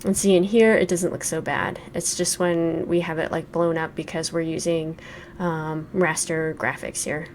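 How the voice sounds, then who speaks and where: Low-voiced woman musing over a game. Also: flat delivery, normal speed, background noise. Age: 40s. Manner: thoughtful, frequent ums and likes. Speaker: game reviewer. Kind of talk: casual let's play commentary.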